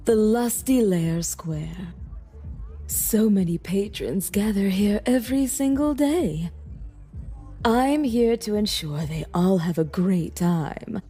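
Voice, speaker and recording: Woman playing a slow, sultry demon hostess. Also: medium pitch, warm tone, slightly rough, full character voice. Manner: teasing, self-assured, leisurely and sultry. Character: flirtatious demon woman, boss of a lavish lust city. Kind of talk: voice acting for a game mod cutscene.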